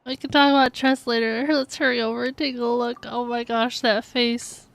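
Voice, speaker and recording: Giggly woman streamer, fairly high voice. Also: normal speed, dry recording. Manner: giggly, expressive exclamations. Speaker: video game streamer. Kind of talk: casual reaction commentary to chat.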